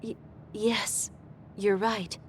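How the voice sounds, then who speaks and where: A woman playing a sweet, guileless young woman in a video game, speaking gently and politely. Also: mid-range voice, flat delivery, extremely slow, a little hiss or hum. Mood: worried, sincere. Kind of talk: English video game voice acting. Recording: faint music behind the voice.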